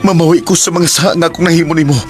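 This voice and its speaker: An actor with a Cebuano Filipino accent playing a guilt-ridden, wavering young boyfriend in an emotional radio drama. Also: male voice, a little high, steady tone, racing, faint music behind, natural voice. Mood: elated.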